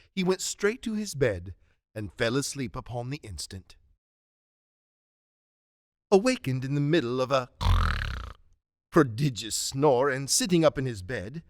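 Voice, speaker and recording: A man giving an expressive, storybook narration for a radio drama. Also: mid-range voice, no room sound, clean studio sound.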